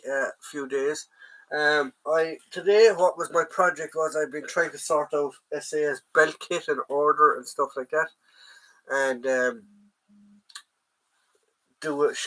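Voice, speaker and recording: Irish guy with a head cold, sounds bunged up, bright voice, rambly live chat from home, says hi to everyone. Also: medium pitch, poor audio. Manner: rambling, greets viewers by name, many ums. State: stuffy nose from a head cold. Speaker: livestream host, collector.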